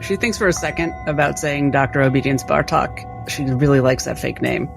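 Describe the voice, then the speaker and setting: A woman's voice: extremely low pitch, normal speed, gravelly, music in the background. A woman talking casually as a player in a tabletop roleplaying game.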